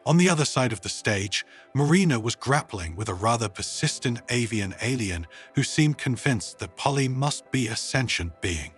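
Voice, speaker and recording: A man narrating a humorous science fiction story in an expressive, scripted storytelling style. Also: mid-range voice, moderate pace, hardly any pauses, breathy edge, soft background music.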